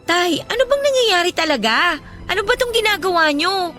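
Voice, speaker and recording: A woman's voice: extremely high pitch, expressive, normal speed, sharp tone, soft background music, heavily acted. A woman playing a worried, polite young schoolgirl who keeps questioning her father in a radio drama. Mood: insistent, puzzled. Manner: respectful, insistent questioning. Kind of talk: radio drama acting in Tagalog.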